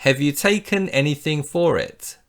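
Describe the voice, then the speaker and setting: A man's voice: fairly high pitch, normal speed, fairly bright tone. A man teaching an English lesson, explaining phrases patiently and modelling example sentences for the listener to repeat. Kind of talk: slow scripted English lesson.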